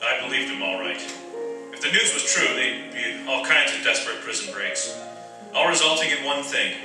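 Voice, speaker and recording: An actor playing an earnest, steady wartime POW barrack leader who also narrates in a radio drama. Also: male voice, mid-range voice, moderate pace, natural voice. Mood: somber reflective. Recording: echoey distant stage pickup with music.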